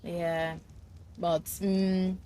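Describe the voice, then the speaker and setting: A woman's voice: fairly low pitch, level tone, very slow, dark tone, slight background noise. Chatty woman streamer thinking aloud. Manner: chatty, thinks aloud with fillers, reads and answers chat. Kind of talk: live gameplay commentary.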